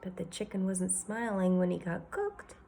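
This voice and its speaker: A woman teacher reading a children's novel aloud, expressive, sometimes speaking to her listeners. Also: medium pitch, normal speed, vocal fry.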